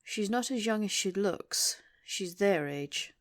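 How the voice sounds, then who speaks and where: Audio drama casting: woman playing icy, threatening leader of hunters, deliberate formal diction, mid-range pitch, very dry sound. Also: animated, no echo. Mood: grim. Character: coldly menacing, imperious female boss of monster hunters. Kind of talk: audio roleplay acting.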